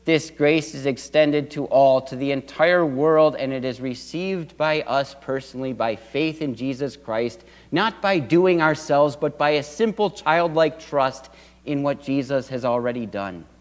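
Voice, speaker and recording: A man's voice: medium pitch, measured pace. A middle-aged man preaching a sermon from a church pulpit, earnest, with swells of emphasis. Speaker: pastor. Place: church sanctuary at a wooden pulpit. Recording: long church reverb.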